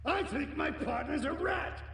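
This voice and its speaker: Crooked game cop: high, swooping gravelly voice, agitated and conspiratorial, streetwise, scheming. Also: male voice, expressive, unhurried, fairly bright tone, heavily acted. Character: jittery corrupt middle-aged cop, scheming and foul-tempered. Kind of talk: video game cutscene acting. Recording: roomy, distant, faint noise.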